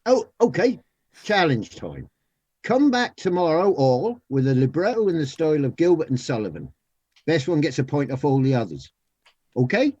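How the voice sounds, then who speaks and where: Lisping know-it-all male pub regular for radio comedy, played by a man, husky, animated delivery, lo-fi sound. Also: fairly high pitch, moderate pace, no echo. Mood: dry, challenging. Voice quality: lisp.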